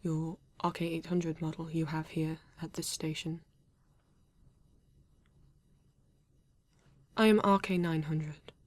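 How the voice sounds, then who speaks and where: A woman with a British accent playing a formal, reserved male android detective in an ASMR roleplay, speaking stiffly and deliberately with halting pauses. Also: deep voice, steady tone, a little mellow, no room sound, close to an everyday voice. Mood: polite, reserved. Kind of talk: ASMR roleplay addressed to the listener.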